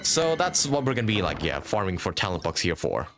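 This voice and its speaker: A man's voice: deep voice, sing-song, fairly quick, over music. A man giving casual, rambling live commentary as he streams a game, thinking aloud and chatting to viewers. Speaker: game livestreamer.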